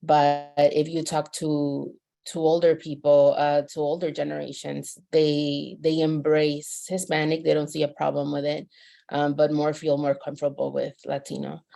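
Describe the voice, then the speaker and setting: A woman from Mexico on a webinar panel, explaining terms in a measured way with many fillers. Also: low voice, completely flat delivery, creaky, warm tone.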